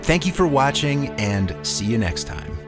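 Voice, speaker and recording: A man reads scripted documentary narration in a mid-range voice. His intonation moves around in an animated way, and music plays underneath. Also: medium pitch, measured pace. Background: background music.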